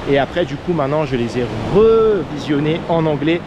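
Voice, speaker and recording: A man's voice: fairly high pitch, expressive, moderate pace. A man from France with a French accent, giving casual advice as a vlogger in an earnest way, with many fillers. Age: under 40. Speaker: vlogger, expat coach. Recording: outdoor noise of waves and traffic.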